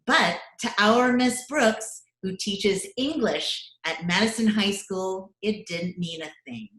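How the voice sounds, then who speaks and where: Female announcer for vintage-style radio comedy, unhurried scripted read, echoey video-call sound. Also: mid-range voice, a bit bright. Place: recorded remotely at home.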